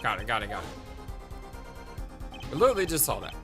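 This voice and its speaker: A man's voice: medium pitch, very animated, fast talker, music in the background. A man casually commenting while playing a game, his voice stuffy from a recent illness. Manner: chatty, self-deprecating asides. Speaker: let's play host.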